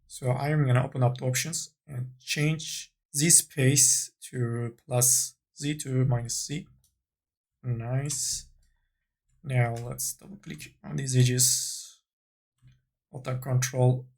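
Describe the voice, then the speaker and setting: A man narrating an unscripted software tutorial, tentatively thinking aloud as he works. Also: medium pitch, fairly even intonation, slow pace, gravelly. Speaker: 3D modeling tutorial instructor.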